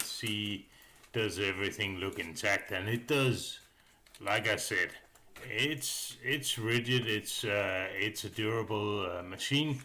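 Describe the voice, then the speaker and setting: A man with a fairly low voice and a clearly bright, forward tone narrates a casual hobby review. He speaks in a rambling, unscripted way, hesitating and repeating words as he goes. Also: a little hiss or hum.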